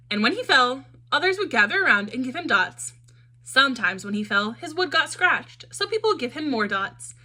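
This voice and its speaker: A woman reading a children's storybook aloud in an expressive, animated storytelling manner. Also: high voice.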